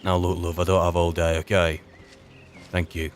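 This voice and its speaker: Low-voiced man musing over a game. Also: little pitch movement, normal speed. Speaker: gamer playing in character. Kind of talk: casual role-play game commentary. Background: game music.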